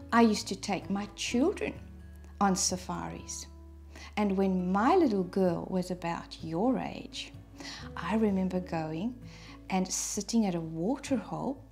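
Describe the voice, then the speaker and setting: Middle-aged woman, South African accent, unhurried preschool storyteller, medium pitch. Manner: gentle, slow and clear, speaking to small children. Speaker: preschool staff guest presenter. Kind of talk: storytelling lesson for young children. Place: indoor studio set at a desk.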